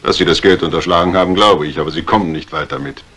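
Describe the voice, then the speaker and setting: An actor playing a wary, shrewd doctor in a 1950s radio crime drama, speaking in a dry, measured, laconic way. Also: male voice, deep voice, a bit bright, husky. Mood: dry, guarded. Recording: noisy vintage radio recording.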